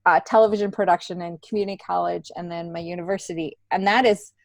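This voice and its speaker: A woman in her fifties telling stories in a casual interview, in an emphatic, animated way, as a guest comedian and writer. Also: lowish voice, fast, gravelly, dry recording. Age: 50s.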